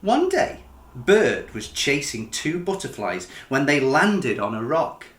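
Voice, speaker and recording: A man narrating a children's picture book in an expressive storytelling style, as the author reading aloud. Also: mid-range voice, slow pace, echoey room.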